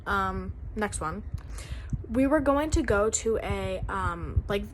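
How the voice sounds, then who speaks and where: A woman's voice: medium pitch, animated, slow, slight background noise, low-quality recording. A young woman vlogging in a casual, rambling way, filling her talk with "like" and "um". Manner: rambling, chatty, full of "like" and "um". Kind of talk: casual vlog chat.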